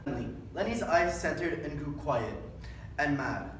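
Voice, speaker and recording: A man's voice: a little high, lively intonation, moderate pace. A young man reading scripted story narration aloud in a readers' theatre. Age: young adult. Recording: very echoey room, distant mic, faint noise.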